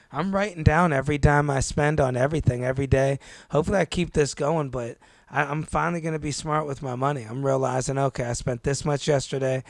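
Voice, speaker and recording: Gravelly man telling stories casually. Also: on the high side, dry recording. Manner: storytelling, animated, says dude and bro. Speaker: podcast co-host. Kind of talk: casual chat. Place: New York.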